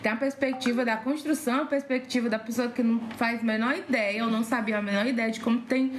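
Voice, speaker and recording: A woman with a fairly high voice chats on a podcast. She is informal and enthusiastic, with faint noise behind her. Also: fairly even intonation, fast. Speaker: podcast conversation partner. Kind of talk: casual podcast conversation.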